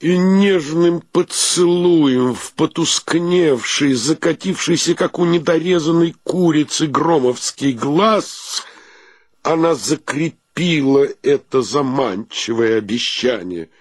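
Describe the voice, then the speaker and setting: A man narrating a radio play in an expressive, theatrical, literary storytelling manner. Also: fairly high pitch, takes it slowly, no echo.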